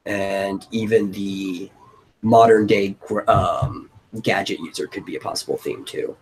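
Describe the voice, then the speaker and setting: A man's voice: sits low, measured pace, husky, low-quality recording. A man hosting a casual brainstorming chat, thinking aloud in a loose way. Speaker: host of a brainstorming stream. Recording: noticeable background noise.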